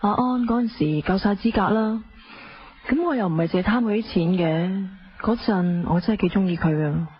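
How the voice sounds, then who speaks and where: A woman's voice: mid-range voice, a little fast, lightly acted. An actress with a Hong Kong accent playing a spoiled, playful young wife in her twenties, telling her story in a radio drama. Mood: breezy, candid. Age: young adult. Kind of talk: radio drama acting, first-person narration. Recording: dull lo-fi audio with faint noise.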